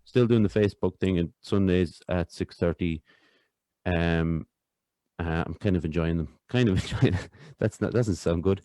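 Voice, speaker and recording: A man's voice: sits low, moderate pace, dark tone, dry recording, clean studio sound. A man hosting a podcast, talking loosely with lots of fillers. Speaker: podcast host. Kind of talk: casual podcast interview.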